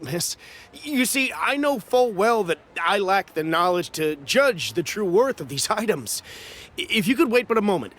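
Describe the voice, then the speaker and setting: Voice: mid-range voice, a bit bright. An actor voicing a nervous, penny-pinching merchant in a video game, anxious and flustered. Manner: anxious, flustered and over-careful. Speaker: merchant buying goods. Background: game music.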